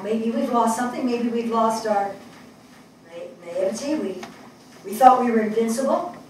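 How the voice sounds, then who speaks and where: A woman's voice: on the high side, heavy reverb, close to an everyday voice. Older lady acting grieving resolute woman in a stage play reading, emphatic short phrases, far from the mic, faint noise. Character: grieving, resolute New York woman in a monologue. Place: indoor theatre stage. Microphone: distant camera.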